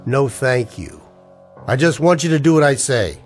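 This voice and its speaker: A man's voice: medium pitch, lively intonation, moderate pace, faint music behind, natural voice. An actor playing a weary, cynical man in a scripted radio-style noir drama. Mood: tense, commanding. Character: weary, cynical, down-on-his-luck man narrating a noir tale. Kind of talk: scripted noir narration in the manner of old radio plays.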